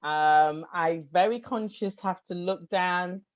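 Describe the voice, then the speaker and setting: A woman's voice: on the low side, takes it slowly, muffled recording. A woman hosting a podcast interview, chatty and enthusiastic. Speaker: podcast host.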